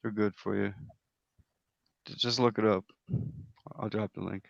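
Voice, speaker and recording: Gravelly man on a muffled call line. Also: lowish voice, fairly even intonation, measured pace, dry recording. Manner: rambling, repeats words, restarts sentences. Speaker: panel guest. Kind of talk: casual online panel chat.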